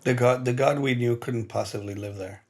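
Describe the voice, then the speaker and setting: A man's voice: mid-range voice, normal speed, a little mellow, a little hiss or hum. A middle-aged man from Mexico, an interviewed artist, explaining his experience reflectively and earnestly, repeating words as he searches for the next.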